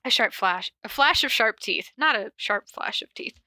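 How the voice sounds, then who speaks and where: Female podcast reader, bright tone, dry recording, playful and self-mocking, casual asides amid the narration. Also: on the high side, expressive, normal speed, no room sound. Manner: playful, self-deprecating, breaks off to correct stumbles. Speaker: podcast host reading fan fiction aloud. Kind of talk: cold reading of stories with casual asides.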